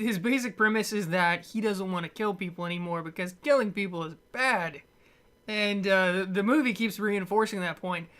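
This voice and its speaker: A man's voice: high voice, a bit bright. A man delivers an unscripted, conversational movie rant. He rambles and stumbles as he looks for the right word, dropping wry, dismissive asides along the way. His voice sits at an ordinary, unforced level. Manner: rambling, halting, stumbling over words. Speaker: amateur movie reviewer.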